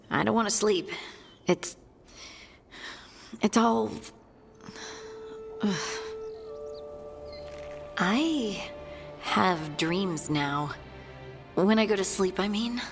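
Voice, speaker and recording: An actress playing a tired, uneasy female dwarf in a fantasy game, speaking haltingly. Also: lowish voice, exaggerated voice. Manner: hesitant, halting. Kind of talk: video game dialogue acting.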